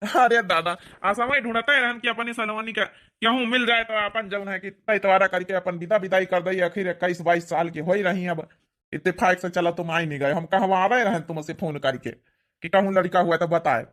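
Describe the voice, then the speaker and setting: A mild village father from a cartoon comedy, acted by a man talks in an unusually high voice. He has an Awadhi accent. His manner is homely and coaxing, that of a dad who indulges his grown child. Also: fairly even intonation, moderate pace, sharp tone. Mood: eager, agreeable. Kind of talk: cartoon comedy dialect acting.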